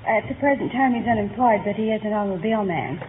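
A woman's voice: medium pitch, quick pace. A woman from New York State chatting and telling a story as a quiz contestant. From New York State, United States. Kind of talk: conversational storytelling. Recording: tinny old radio recording, faint noise, audience. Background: studio audience.

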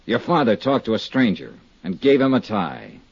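An actor in a 1950s radio drama playing a shrewd, hard-boiled insurance investigator, husky, clipped and sardonic. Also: male voice, on the low side, wildly swooping pitch, normal speed, a little mellow, full character voice. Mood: cold, accusing. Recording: dull 1950s radio recording.

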